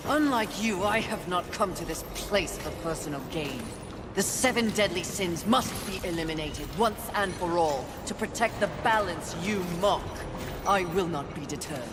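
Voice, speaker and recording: A woman's voice: on the low side, lively intonation, normal speed, lightly acted. An actress playing a fierce, proud female warrior, speaking curtly with defiant determination. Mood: angry. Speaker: video game character. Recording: game audio with clear background noise.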